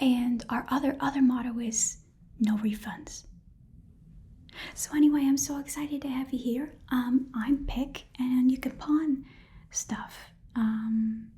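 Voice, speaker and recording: A breathy, whispering goblin pawnbroker, played by a woman in an ASMR role-play. The voice sits fairly high with a soft, mellow tone. The talk is cheerful, shady and chatty, rambling along as a casual hard sell. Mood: eager, salesy.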